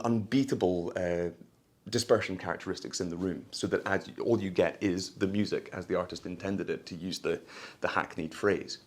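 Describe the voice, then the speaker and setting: A man giving a product launch presentation as a company presenter, in a polished, florid sales manner with hesitant asides. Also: low voice.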